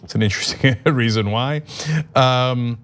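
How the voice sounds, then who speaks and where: A man of middle pitch reading viewer comments aloud on a live show. He chats casually and drops wry asides between messages. His voice is plain and conversational. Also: fairly even intonation, a little slow, fairly bright tone, smooth.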